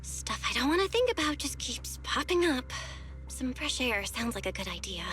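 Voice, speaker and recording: An actress playing a caring young woman who leads a video game, speaking an introspective monologue in a pensive, expressive way. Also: high voice, normal speed, soft background music. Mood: uneasy, restless.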